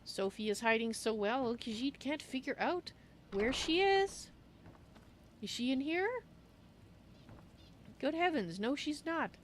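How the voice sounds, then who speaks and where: A woman's voice: high voice, animated, takes it slowly, long pauses, a little hiss or hum. A woman playfully musing aloud as she narrates her video game roleplay in character.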